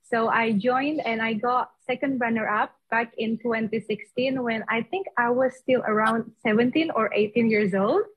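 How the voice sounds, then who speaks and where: A young woman from North Sulawesi speaks in a high, husky voice. Her delivery is poised and formal as she introduces herself. The video-call audio sounds muffled. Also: normal speed, few pauses. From North Sulawesi, Indonesia. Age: 20s. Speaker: guest contestant. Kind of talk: self-introduction in English.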